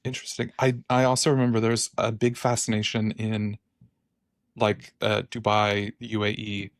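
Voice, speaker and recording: Low-voiced, easygoing man host. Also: little pitch movement, moderate pace, dry recording, studio quality. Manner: easygoing, hesitant, with ums and laughs. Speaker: podcast host. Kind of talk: casual podcast conversation.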